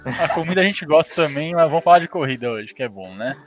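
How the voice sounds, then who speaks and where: A man's voice: on the high side, animated, quick pace. A man hosting a casual podcast, upbeat and joking with his guest. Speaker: podcast host.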